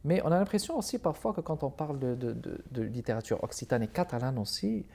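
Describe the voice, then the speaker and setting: Halting, mellow-voiced male host. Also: mid-range voice, expressive, gravelly, husky. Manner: halting, repeats words while searching. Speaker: TV arts show host. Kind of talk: interview questions.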